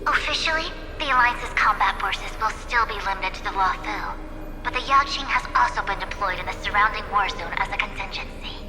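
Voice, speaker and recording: Actress as proud lady army envoy in a game, very formal, echoing hall, loud music. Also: mid-range voice, animated, brisk, natural voice. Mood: solemn, determined. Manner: formal, declarative. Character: proud, steadfast female military envoy of an alliance. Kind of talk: video game voice acting. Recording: long reverb, loud music behind.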